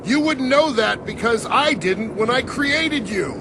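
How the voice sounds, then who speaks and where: A man playing a weary older father and creator in a game cutscene, speaking in a gentle, measured, regretful way. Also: very high voice, animated, gravelly, noisy background, heavily acted. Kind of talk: dramatic game cutscene acting. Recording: music behind the dialogue.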